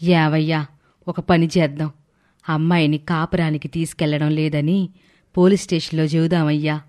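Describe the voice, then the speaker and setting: A middle-aged mother in a family story, played by a woman, protective and indignant. Her voice is pitched down, blunt and concerned. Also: flat delivery, normal speed, a little mellow, natural voice. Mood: urging.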